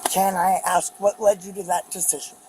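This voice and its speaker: An American woman arguing with police in an emphatic, deliberate way as a driver at a stop. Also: on the low side, little pitch movement, warm tone. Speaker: driver being arrested. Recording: faintly noisy outdoor bodycam audio.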